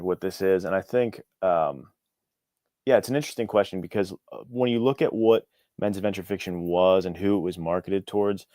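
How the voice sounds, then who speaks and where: A man's voice: low-pitched, a little mellow, no room sound, studio quality. A young American man talking as a podcast guest in a reflective, informal, loosely phrased way. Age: young adult. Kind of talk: casual interview chat.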